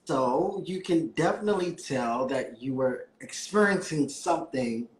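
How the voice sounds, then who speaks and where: A man's voice: on the high side, expressive, a little slow, reverberant, rough recording. A man hosting a casual interview, effusive and enthusiastic with his guest, giggling often. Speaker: host.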